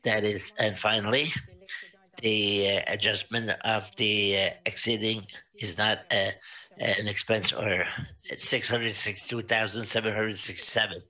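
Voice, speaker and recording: A man presenting financial results to a council, reading out figures with many pauses and fillers. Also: fairly low pitch, flat delivery, bright, forceful tone. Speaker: auditor presenting financial statements. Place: municipal council meeting over video link.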